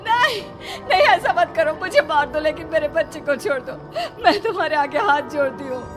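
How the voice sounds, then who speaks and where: A woman's voice: sits high, expressive, moderate pace, crowd noise, voice pushed up. A woman voicing a protective, anxious village mother in a dramatic story, speaking urgently and emotionally. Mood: frightened pleading. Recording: music playing behind the voice.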